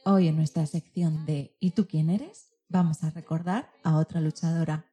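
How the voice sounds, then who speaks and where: Low, very mellow woman radio presenter. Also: steady tone, normal speed, slightly rough, soft background music. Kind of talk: scripted radio programme narration.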